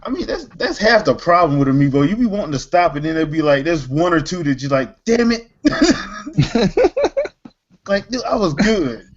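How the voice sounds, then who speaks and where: A man's voice: a little high, big pitch swings, normal speed, lo-fi sound. A man hosting a casual gaming chat in a slangy, easygoing, joking way. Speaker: podcast host.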